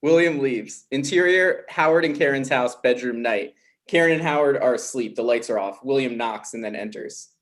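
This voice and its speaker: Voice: mid-range voice, normal speed. A narrator reading scripted stage directions at a comedy table read.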